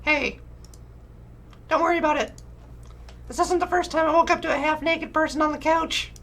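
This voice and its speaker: A woman's voice: high voice, steady tone, moderate pace, a bit bright. A woman voicing a breezy, teasing young woman friend from a dating sim visual novel. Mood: breezy, reassuring.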